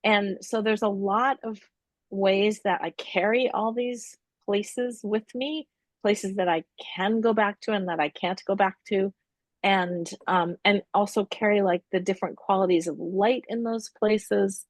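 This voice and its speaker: A woman's voice: medium pitch, steady tone, dry recording. Thoughtful middle-aged woman artist. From Middle East. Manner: thoughtful, halting, searching for words. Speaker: exhibiting artist. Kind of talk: reflective gallery talk. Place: art gallery.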